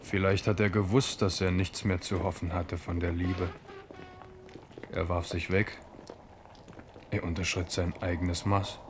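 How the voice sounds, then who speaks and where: A man's voice: sits low, flat delivery, unhurried, pauses often, music in the background. An actor playing a weary, reflective French king in a German radio play. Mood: wistful. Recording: 1970s radio drama, clear background noise.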